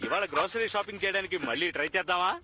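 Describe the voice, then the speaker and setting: A man's voice: high voice, very fast, noisy background, lightly acted. A man voicing a cheerful, boastful middle-aged father in a dubbed children's cartoon, hearty and exclamatory. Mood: chatty.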